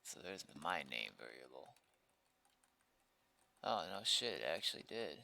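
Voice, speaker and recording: A man's voice: low-pitched, very animated, a little slow, creaky, bright, forceful tone, a little hiss or hum. A man streaming as he programs a game mod, casually thinking aloud and muttering to himself in short fragments. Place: indoors at a computer desk.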